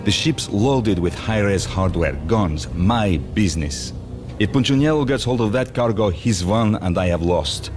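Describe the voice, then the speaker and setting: An actor playing a sly arms-dealing mobster in a game, talking with wry, showy gangster bravado. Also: male voice, fairly low pitch, expressive, measured pace. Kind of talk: game cutscene dialogue. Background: music.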